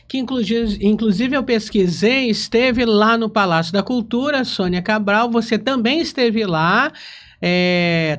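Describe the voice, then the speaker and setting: A Brazilian man with a notably high voice works as a podcast interviewer. He speaks in a casual, conversational style as he puts questions and comments to his guest. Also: a bit bright.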